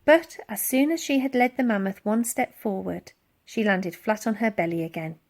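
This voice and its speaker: A woman reading a children's storybook aloud in a dramatic, expressive narrating style. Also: medium pitch, moderate pace. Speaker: audiobook reader.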